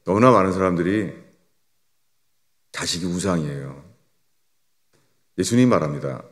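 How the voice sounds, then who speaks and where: An older man preaching a sermon as a pastor, swinging between raised emphatic lines and low, quiet drops. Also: expressive, normal speed. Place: church sanctuary. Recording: long church reverb.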